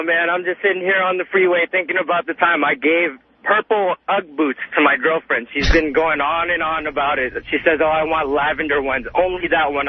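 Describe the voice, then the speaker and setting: Guy calling in on a tinny phone, husky, high voice, casual griping. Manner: colloquial, animated complaining. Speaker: radio call-in guest. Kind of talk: casual storytelling.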